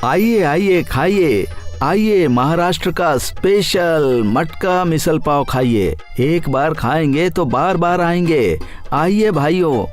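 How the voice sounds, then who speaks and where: A man voicing a humble, grateful poor street food vendor in an animated story, earnest and pleading. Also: a little high, slow pace, soft background music. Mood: calling customers. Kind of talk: animated moral story dialogue.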